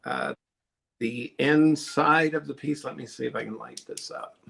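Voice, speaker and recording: A man's voice: medium pitch, a little slow, creaky, a bit bright, husky. A man on a live online sale, chatting casually as he shows and explains his glass pieces. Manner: chatty, explains techniques while showing pieces.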